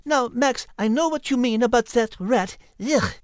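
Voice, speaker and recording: Woman voicing a high, dainty French animal lady. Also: normal speed, dry recording, studio quality, close to an everyday voice. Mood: tactful. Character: refined, tactful, gracious female French animal character. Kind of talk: children's audio drama acting.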